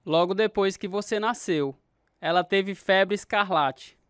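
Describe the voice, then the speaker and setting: An actor with a Brazilian accent playing a grown orphaned brother sadly recounting a hard childhood in a radio drama. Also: male voice, sits high, a little slow, fairly bright tone, smooth, no echo, studio quality. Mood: earnest. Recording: guitar music behind the dialogue.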